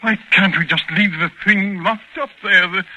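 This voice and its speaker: An actor playing an anxious, fearful doctor in an old radio horror play, with a raspy, creaky voice. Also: male voice, high-pitched, moderate pace, sharp tone, highs cut off, full character voice. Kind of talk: 1940s radio drama acting.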